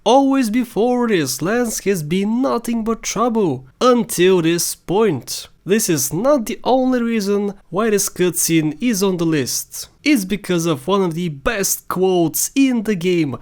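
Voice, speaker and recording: A woman's voice: medium pitch, lively intonation, takes it slowly, few pauses, a little mellow. A woman delivering scripted narration as the host of a video countdown.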